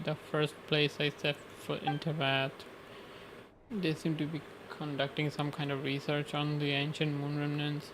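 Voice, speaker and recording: A man playing a game on stream, casually reading dialogue aloud and commenting, sometimes stumbling over the words. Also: on the high side. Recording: noticeable background noise.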